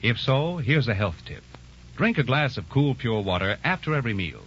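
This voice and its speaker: A man's voice: mid-range voice, sing-song, moderate pace, slight background noise. A man reading a 1940s radio commercial as announcer, earnest, persuasive and carefully enunciated. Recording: muffled, humming 1940s radio recording.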